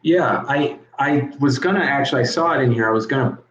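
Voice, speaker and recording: A man's voice: medium pitch, a little fast, a bit bright. A man, a coach as guest, talking frankly and casually over a video call. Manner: frank, conversational, repeats words as he talks. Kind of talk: casual interview chat. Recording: echoey, dull video call audio.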